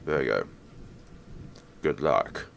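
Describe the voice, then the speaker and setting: A man's voice: rumbling low, lively intonation, slow pace, vocal fry, fairly bright tone. A man hosting a game playthrough, switching between dramatic read-aloud narration and casual commentary. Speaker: let's play host.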